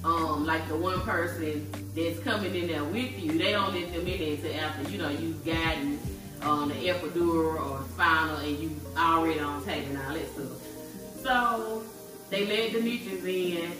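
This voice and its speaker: A woman in her forties co-hosting a family vlog, telling a story to viewers in a lively way and calling them y'all. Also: low-pitched, moderate pace, recorded from a distance. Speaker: vlog co-host, wife. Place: home kitchen, indoors. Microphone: far camera. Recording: very echoey kitchen from afar with music.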